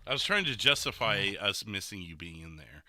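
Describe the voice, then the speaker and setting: Casting: male podcast co-host, low pitch, sharp forceful tone, casual delivery, faint noise behind. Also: big pitch swings, brisk. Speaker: podcast co-host reacting to recorded gameplay. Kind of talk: casual chat.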